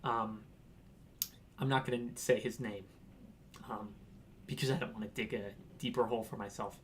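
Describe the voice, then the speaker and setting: A man's voice: fairly low pitch, steady tone, normal speed, slight background noise. A young man from Washington telling a casual, rambling story, laughing and acting out quotes. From Washington, United States. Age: young adult. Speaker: vlogger.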